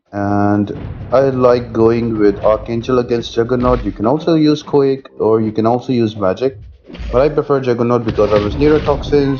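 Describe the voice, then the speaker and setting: A man's voice: medium pitch, dark tone, a little hiss or hum. A man giving a casual, matter-of-fact strategy walkthrough as commentary over gameplay. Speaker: gaming video creator giving strategy guide.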